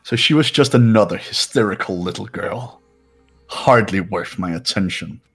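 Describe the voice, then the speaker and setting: A man's voice: deep voice, moderate pace, creaky, breathy edge, full character voice. A man voicing a haughty, cold young man in a video game, condescending and scornful. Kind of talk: amateur video game voice-over. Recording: background music throughout.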